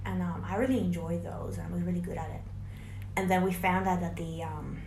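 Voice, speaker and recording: A woman's voice: lowish voice, brisk, vocal fry, darkish tone, a little hiss or hum. Chatty young woman. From Hungary. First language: Hungarian. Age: young adult. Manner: chatty, laughing, anecdotal storytelling. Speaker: interview guest, graduating student. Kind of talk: casual chat. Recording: echoey room.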